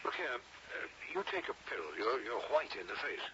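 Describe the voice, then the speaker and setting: An actor playing a brisk, dry-humored British colonial doctor in a radio drama, blunt and inquisitive. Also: male voice, mid-range voice, huge pitch swings, creaky, bright, forceful tone, heavily acted. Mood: brisk, amused. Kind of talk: old-time radio drama acting. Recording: muffled, noisy old radio drama tape.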